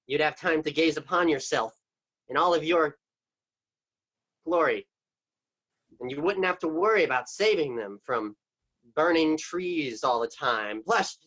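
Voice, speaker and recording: A man's voice: a little high, fairly bright tone. A man playing a scheming wolf villain. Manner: persuasive and wheedling. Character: smooth-talking, scheming male wolf villain. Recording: dull muffled stage recording.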